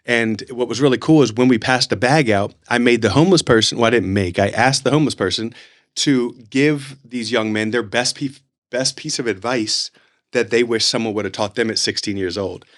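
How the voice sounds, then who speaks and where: A man hosts a casual interview podcast at an ordinary male pitch. He is effusive and informal, wandering through his stories in a loose, conversational way. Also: fairly quick, fairly bright tone. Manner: effusive, informal, rambling storyteller. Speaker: podcast host.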